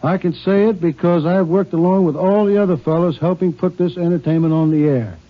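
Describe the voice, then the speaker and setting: Muffled old radio recording. A high-pitched American man delivers a scripted 1950s sponsor message, sounding earnest and folksy. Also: brisk.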